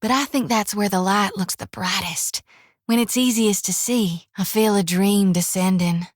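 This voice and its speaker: A woman's voice: mid-range voice, steady tone, moderate pace, dry recording, natural voice. An actress playing a cheerful, devout young female priest who talks in a folksy drawl full of old-fashioned country slang. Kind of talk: video game voice lines. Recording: dry studio booth.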